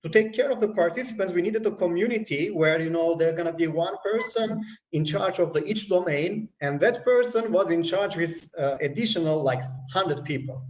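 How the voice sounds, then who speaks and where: A man's voice: high-pitched, moderate pace, darkish tone, roomy sound, far from the mic, rough recording. A man speaking as a community manager in a live-stream conversation, with many fillers and restarts. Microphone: video call.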